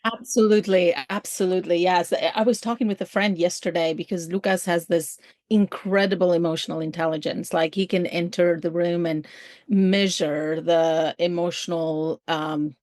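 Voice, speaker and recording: Low-voiced woman with an Albanian accent. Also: fairly even intonation, moderate pace, a little mellow, dry recording, studio quality. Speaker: podcast guest, ministry field coordinator. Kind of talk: conversational personal storytelling in an interview.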